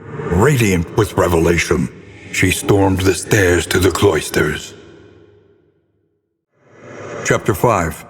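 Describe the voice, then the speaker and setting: An actor playing a grave, brooding older narrator of gothic horror tales in a video game, with solemn, theatrical and deliberate phrasing. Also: male voice, low-pitched, full character voice. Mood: solemn, foreboding. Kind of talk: dramatic scripted storytelling narration. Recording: long reverb, clear background noise.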